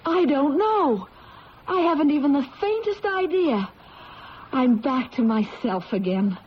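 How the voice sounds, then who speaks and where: A woman's voice: high-pitched, lively intonation, measured pace. An actress playing a troubled married woman who believes herself cursed, in an intense, dramatic radio-drama style. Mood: relieved, tender. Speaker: actress in a radio mystery play. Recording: noisy, muffled old radio recording.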